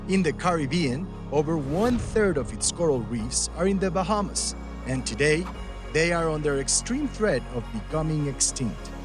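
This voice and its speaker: A man reading scripted news narration for young viewers. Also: on the high side.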